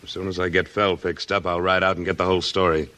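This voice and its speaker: An American actor playing a firm, level-headed rancher with a terse, commanding manner in a 1950s radio western. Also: male voice, sits low, level tone, quick pace, pushed and bright. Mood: firm, steady. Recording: noisy old 1950s radio recording.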